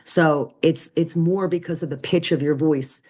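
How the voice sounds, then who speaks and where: A woman with a deep voice giving a recorded nursing lecture, conversational and often checking in with "right?" and "okay?". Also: steady tone, measured pace, darkish tone. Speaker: nursing instructor.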